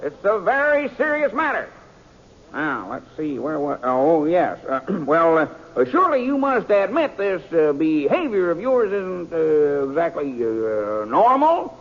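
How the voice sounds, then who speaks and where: A bumbling small-town judge in a radio western. His high voice is husky, fussy and sputtering. The recording sounds dull and old. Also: big pitch swings, unhurried, full character voice. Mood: flustered. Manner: bumbling, sputtering, throat-clearing.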